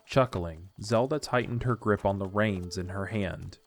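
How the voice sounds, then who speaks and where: Lower-voiced man narrating fiction. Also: unhurried, soft background music.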